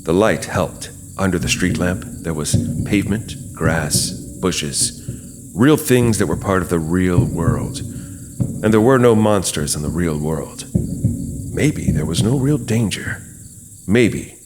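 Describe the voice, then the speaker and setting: A man narrating an audio drama in a measured, suspenseful, dramatic storytelling manner. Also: deep voice, animated, heavy background noise. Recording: long reverb, music behind.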